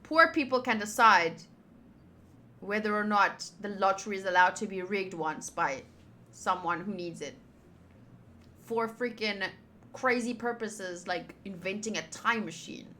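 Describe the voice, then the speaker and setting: A woman's voice: mid-range voice, unhurried, bright, forceful tone, a little hiss or hum. A woman giving casual visual novel playthrough commentary, chatty and thinking aloud as she reads the text. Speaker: game streamer.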